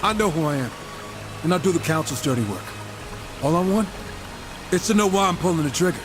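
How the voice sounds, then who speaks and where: A man's voice: fairly high pitch, lively intonation, a little fast, close to an everyday voice. Man playing a sardonic gunslinger horseman over music. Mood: brooding. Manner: sardonic, informal. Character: cynical, wisecracking male horseman gunslinger and reformed killer. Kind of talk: game cutscene dialogue. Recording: music behind the voice.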